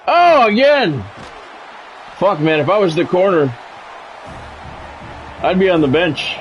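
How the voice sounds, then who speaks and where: A man's voice: high-pitched, sing-song, takes it slowly, faint music behind. A man livestreaming a video game, giving casual commentary and chatting with viewers in a repetitive, explanatory way.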